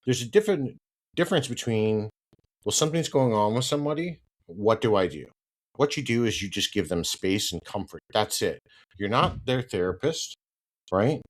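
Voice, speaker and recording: A man's voice: on the low side, animated, moderate pace. A man hosting a solo podcast, sounding congested and a little nasal from hay fever, talking in a conversational way and tagging "right?" onto many points. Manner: conversational, repeats "right?" often, turns firm and emphatic when ranting. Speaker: podcast host.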